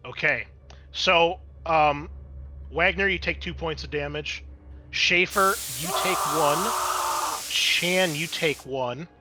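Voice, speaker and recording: Bright-voiced male game master. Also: a little high, slow pace, a little hiss or hum. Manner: dramatic scene-setting mixed with casual rules talk. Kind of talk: narrating a tabletop roleplaying game.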